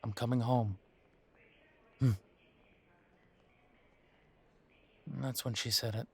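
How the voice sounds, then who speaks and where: A man's voice: medium pitch, steady tone, moderate pace, gravelly, breathy edge. A man playing a bitter, wounded werewolf confiding in his partner in an intimate audio roleplay, with a sardonic, hesitant, conversational delivery. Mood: bitter, resentful.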